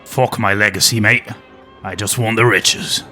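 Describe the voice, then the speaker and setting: A man's voice: fairly low pitch, steady tone, a little slow, sharp tone, faint music behind. A man playing an aging former nature documentary host with a wry, world-weary, conspiratorial manner. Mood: gruff. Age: older.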